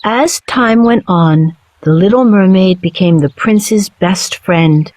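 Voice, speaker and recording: Slow-paced woman narrating a children's story. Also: mid-range voice, no room sound. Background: soft music.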